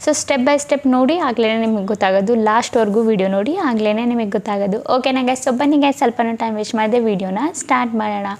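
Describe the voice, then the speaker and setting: A woman's voice: fairly high pitch, quick pace, hardly any pauses, darkish tone. A woman presenting a casual step-by-step tutorial, instructing and often checking in with listeners. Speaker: tutorial host.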